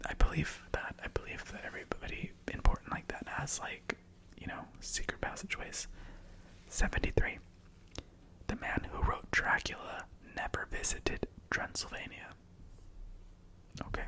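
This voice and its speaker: A man whose first language is Spanish, whispering numbered facts ASMR-style with casual chatty asides. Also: poor audio. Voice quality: soft unvoiced whisper. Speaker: ASMR host. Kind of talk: ASMR whispered fact reading.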